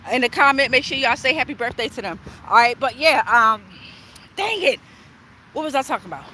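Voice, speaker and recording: A woman's voice: high-pitched, expressive, brisk, pushed and bright. A middle-aged woman chatting casually on a spiritual livestream as a tarot reader, emphatic and often checking in with tag questions. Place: outdoors on a walk near traffic. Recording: outdoor street noise, passing cars. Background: passing cars, urban outdoor ambience.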